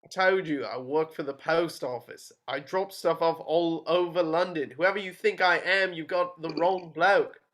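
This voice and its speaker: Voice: medium pitch, moderate pace, hardly any pauses, fairly bright tone, lightly acted. A reader playing a blunt, defiant young working-class man in an amateur table read. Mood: pleading. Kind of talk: amateur table read over video call.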